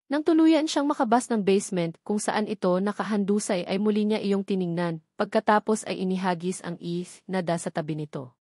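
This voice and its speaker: A woman's voice: medium pitch, moderate pace, a little mellow, dry recording, clean studio sound. A woman narrating a dramatic story from a script as an audiobook reader.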